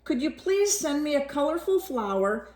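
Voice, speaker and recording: A woman playing a storybook polar bear who sounds wishful and childlike. The pitch sits high and swoops freely, with words stretched out expressively for young listeners. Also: very animated, moderate pace, a little mellow. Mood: plaintive, pleading.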